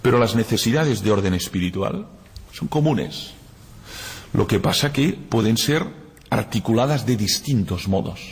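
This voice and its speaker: A man's voice: fairly low pitch, lively intonation, a little slow. A man whose first language is Catalan gives a university lecture, reflective and explaining through analogies and rhetorical questions. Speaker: guest lecturer. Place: lecture hall. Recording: echoey lecture hall, husky voice.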